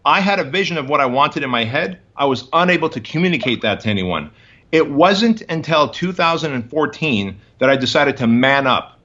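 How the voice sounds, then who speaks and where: A man's voice: a little high, animated, moderate pace, pushed and bright, highs cut off. A man in his forties, a guest in an interview, telling his story in emphatic, punchy short phrases. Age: 40s.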